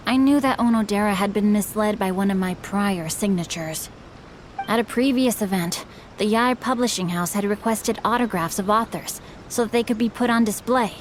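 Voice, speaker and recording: A woman's voice: mid-range voice, a little hiss or hum, close to an everyday voice. A woman voicing a polite young male swordsman and author who speaks in a formal, earnest way.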